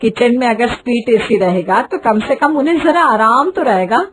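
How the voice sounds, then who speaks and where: A woman's voice: a little high, normal speed, a little hiss or hum, lightly acted. A woman voicing a boastful, dramatic middle-aged Indian mother-in-law in a cartoon moral story. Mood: sulky, wheedling. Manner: haughty, dramatic, wheedling.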